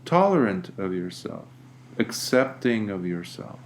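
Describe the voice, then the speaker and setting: A man leading a guided meditation as a teacher, speaking gently and deliberately with long pauses. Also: lowish voice, big pitch swings, slight background noise.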